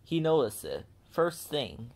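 A man narrating a children's storybook in an animated read-aloud style. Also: on the high side, slow pace.

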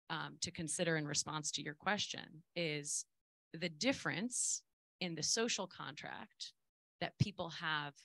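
A woman's voice: low-pitched, gravelly, bright, forceful tone, no room sound. A middle-aged woman reflecting aloud, unscripted, as a panelist on a conference stage.

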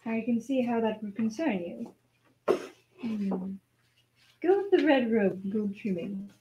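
A woman's voice: fairly high pitch, lively intonation, a little slow, muffled recording. A woman playing a dry, wry noble wife in an amateur radio play. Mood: dryly questioning. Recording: noisy amateur recording with clicks.

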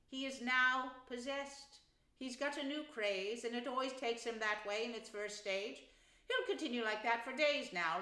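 Woman as a brisk, exasperated water rat, echoey. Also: a little high, pushed and bright. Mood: firm. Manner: firm, practical, scolding.

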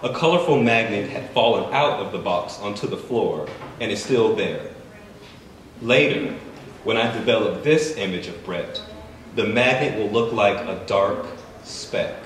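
A man reading his own fiction aloud at an open mic in a bookstore, measured and deliberate. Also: on the low side, expressive. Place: bookstore event space, indoors. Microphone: stand mic, recorded by a far camera. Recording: echoey room from far off, faint noise.

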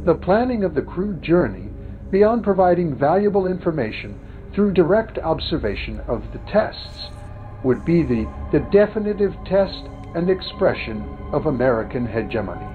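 A man's voice: fairly high pitch, sing-song, normal speed. A man reading formal scripted documentary narration. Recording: tinny phone-like sound over music. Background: background music.

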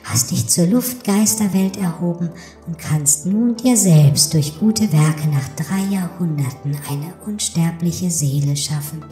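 Ethereal daughter of the air, a female actor: gentle, solemn, very mellow, ghostly echo. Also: fairly low pitch, measured pace, hardly any pauses. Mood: solemn, consoling. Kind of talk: fairy tale audiobook dialogue. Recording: echoing reverb, soft music behind.

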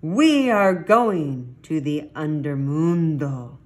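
A woman's voice: low-pitched, expressive, slow pace, a little mellow. A woman voicing chummy, teasing little male Chihuahuas from a storybook, emphatic and sprinkled with Spanish words.

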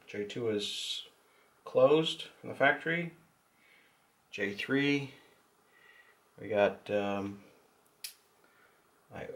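A man giving a casual hands-on tutorial, talking in short, halting remarks as he works. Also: mid-range voice. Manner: halting, muttered step-by-step remarks while working with hands. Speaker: hobbyist demonstrator. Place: indoors at a tabletop workbench. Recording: distant mic, clear noise, clicks.

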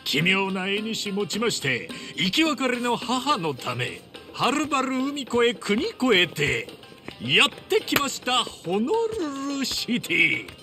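Lively, high-voiced brash ex-yakuza hero. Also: male voice, slow, over music, full character voice. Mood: grandiose. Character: brash, earnest ex-yakuza hero, adult man. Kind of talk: theatrical Japanese game dub acting.

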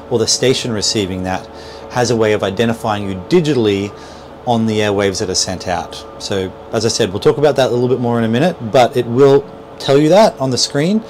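A man in his thirties giving an informal, instructional walkthrough, explaining things with hesitant, repeated words. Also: fairly low pitch. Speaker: boat dealer presenter. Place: small boat cabin at a marina. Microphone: clip-on lapel mic. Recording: noisy boat cabin with steady background hum.

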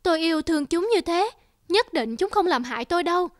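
A woman voicing a caring, stubborn cartoon mother hen who talks with expressive, pleading tenderness. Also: very high-pitched, fairly quick, no echo, studio quality. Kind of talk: Vietnamese cartoon dubbing. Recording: music behind the voice. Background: music.

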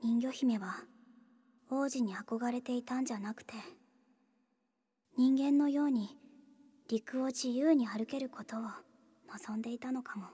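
A woman playing a reserved, thoughtful teenage schoolgirl in a Japanese anime game, speaking softly and hesitantly with a breathy voice. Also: on the high side. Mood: wistful, pensive. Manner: hesitant, gentle, measured.

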